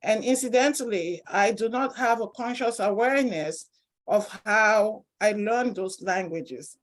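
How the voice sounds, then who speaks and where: A woman's voice: medium pitch, a little slow, low-quality recording. A woman from Cameroon with a Cameroonian accent, speaking as a professor giving an informal, personal academic presentation at a seminar.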